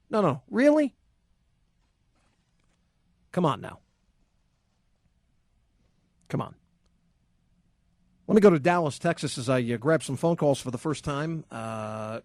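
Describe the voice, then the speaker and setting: A man's voice: fairly high pitch, darkish tone, dry recording. A man hosting a sports call-in radio show, ranting combatively and sarcastically into a studio microphone. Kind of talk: heated opinion monologue and call-in.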